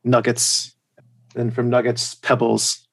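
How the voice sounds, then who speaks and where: A man with a general American accent, chatting casually as a podcast guest in a hesitant, halting way. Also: mid-range voice, completely flat delivery, vocal fry. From United States and Germany. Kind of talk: casual chat.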